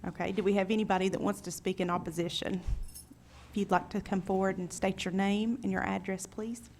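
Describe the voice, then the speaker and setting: A woman chairing a county meeting, briskly calling speakers to the podium. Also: medium pitch, level tone, a little mellow, slight background noise. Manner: brisk, procedural.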